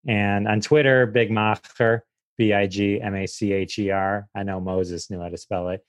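A man's voice: fairly low pitch, flat delivery, moderate pace, no echo. A man chatting casually and jokingly as a guest comedian on a podcast. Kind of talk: casual comedic interview chat.

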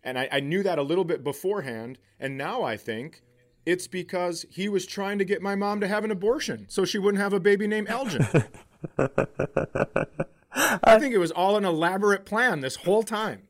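A man's voice: high-pitched, brisk. A middle-aged man, a comedian co-hosting a podcast, telling stories in casual, profane, joking banter.

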